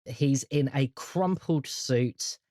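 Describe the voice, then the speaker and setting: Slow-paced game master, dry close sound. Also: medium pitch, warm tone, dry recording. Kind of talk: narrating a tabletop roleplaying game.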